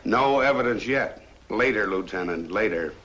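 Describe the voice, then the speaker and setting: An actor playing a portly, unruffled private detective with a dry, measured manner in a 1950s film noir. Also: male voice, on the low side, expressive, a bit bright. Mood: dry, assured. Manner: measured, dry, wry questioning. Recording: noisy old film soundtrack.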